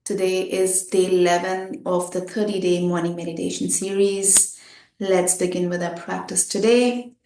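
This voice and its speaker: A woman guiding a meditation, giving gentle instructions in short, unhurried phrases with pauses. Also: fairly low pitch, flat delivery, echoey room, slight background noise. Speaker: meditation guide.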